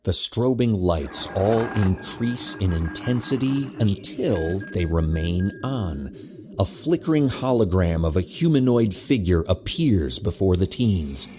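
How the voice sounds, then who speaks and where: A man's voice: sits low, sing-song, measured pace, music in the background. A man reading scripted narration for an audio drama, describing the action as narrator.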